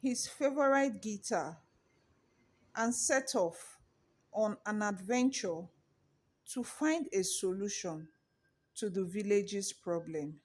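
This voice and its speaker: A woman narrating a scripted children's folktale with measured, deliberate phrasing. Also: mid-range voice, animated. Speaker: storyteller.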